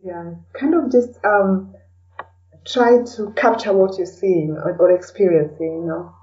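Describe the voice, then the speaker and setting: A woman with a soft-edged, mellow tone, recorded dully and at some distance from the microphone. She talks as a guest in a casual interview, feeling out her thoughts as she goes and often tacking on "you know". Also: mid-range voice, a little slow. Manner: hesitant, thinking aloud, often adds "you know". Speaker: podcast guest, blogger and podcaster. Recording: dull, lo-fi, distant from the mic.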